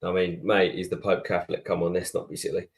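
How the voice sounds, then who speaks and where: A man's voice: deep voice, flat delivery, quick pace, vocal fry. A man co-hosting a podcast, talking in a chatty, blunt and matey way. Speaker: podcast co-host. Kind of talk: casual chat.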